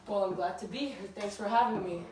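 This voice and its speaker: Woman playing modest gentleman guest on a 1920s radio show, polite and rehearsed, roomy distant mic. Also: mid-range voice, a little fast, natural voice. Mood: modest, friendly. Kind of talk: amateur 1920s radio interview acting. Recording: echoey room, distant mic, faint noise.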